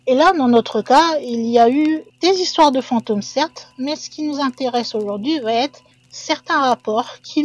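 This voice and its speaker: A woman's voice: fairly high pitch, darkish tone, slightly rough, dull audio. A woman hosting a paranormal channel, telling a ghost legend in a chatty way with hedging asides to her viewers. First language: French. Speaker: channel host. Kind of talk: casual storytelling narration.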